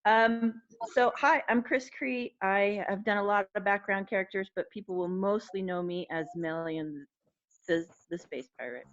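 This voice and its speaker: A woman's voice: mid-range voice, moderate pace. Woman on muffled call audio. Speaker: voice actor. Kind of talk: casual self-introduction. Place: group video call.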